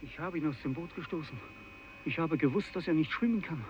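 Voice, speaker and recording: A man's voice: a little high, brisk, natural voice. An actor playing an intense, volatile fisherman, threatening and guilt-ridden, in a 1950s German radio drama. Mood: anguished. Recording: muffled, very noisy old radio recording.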